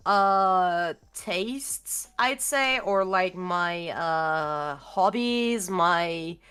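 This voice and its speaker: A woman's voice: on the low side, very slow, a bit bright, clear, resonant tone. A young woman with a Turkish accent, a guest chatting casually, rambling and hunting for words with many fillers. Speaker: interview guest. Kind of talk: casual video-call chat.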